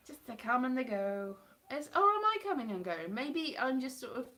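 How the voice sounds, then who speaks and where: A woman's voice: sits high, big pitch swings, normal speed, pushed and bright. A woman in her thirties chatting casually on a live stream from her hallway at home, rambling and mocking herself with playful sarcasm. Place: home hallway by a staircase, indoors. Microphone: webcam or phone at close range.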